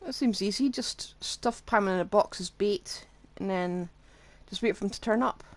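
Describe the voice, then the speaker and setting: A woman's voice: mid-range voice, normal speed. A woman doing casual live game commentary as a streamer, with wry, offhand asides.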